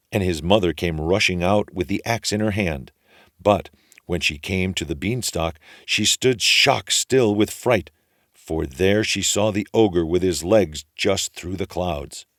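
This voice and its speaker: A man reading a children's story aloud as an expressive storyteller. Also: low voice, dry recording, studio quality.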